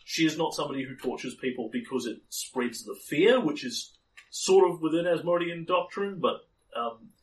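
A man's voice: fairly high pitch, expressive, normal speed, distant mic, rough recording. A man running a tabletop game as game master, explaining a character at length with many ums and restarts. His voice is slightly worn.

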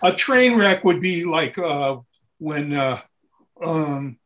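High-voiced man telling animated anecdotes. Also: slow pace. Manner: acts out dialogue and sounds while telling stories. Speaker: interview guest, touring keyboard player.

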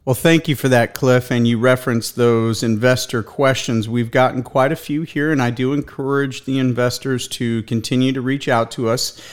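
A man's voice: mid-range voice, fairly even intonation, normal speed, hardly any pauses. A man hosting a corporate investor call in a formal, emphatic manner. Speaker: host of an investor conference call.

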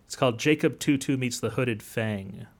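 A man speaking as an academic guest in an interview, explaining at length in a halting, thoughtful way. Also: mid-range voice, creaky. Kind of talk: interview conversation.

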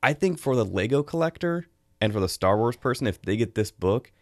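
A man's voice: mid-range voice, expressive, a little fast, no room sound, clean studio sound. An American man hosting a review show, talking casually as he gives his take. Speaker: host of a film and book review show.

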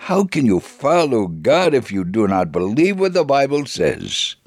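A man's voice: on the low side, a little mellow, dry recording, clean studio sound. A man reading a first-person story in a dramatic, scripted storytelling style, acting out the dialogue expressively. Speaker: narrator, reader of a radio story segment.

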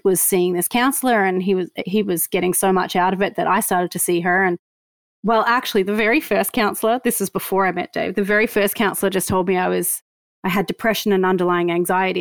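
A woman's voice: lowish voice, no room sound, studio quality. Laughing Australian woman in her fifties. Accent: Australian with a slight Canadian tinge. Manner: animated storyteller, laughs often, self-mocking. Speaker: guest. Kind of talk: casual interview chat.

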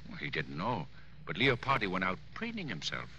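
Sharp, swooping, sarcastic male hotel clerk. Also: low-pitched, huge pitch swings, normal speed, highs cut off, heavily acted. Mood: matter-of-fact. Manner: sarcastic, flippant, grumbling. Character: wisecracking, sour male hotel night clerk. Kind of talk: 1940s radio drama acting. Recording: old radio recording with faint noise.

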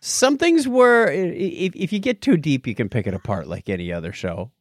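Animated, stammering man chatting on a podcast. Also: mid-range voice, a little fast, dry recording. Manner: animated, stammering, restarts phrases. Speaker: podcast co-host. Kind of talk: casual podcast chat.

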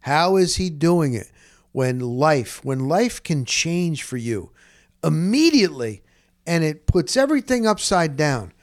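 A man with a slightly high-pitched voice speaks as a podcast host. His delivery is conversational and rambling, and he leans on repeated phrases for emphasis. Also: very animated, measured pace. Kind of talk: casual conversational podcast introduction.

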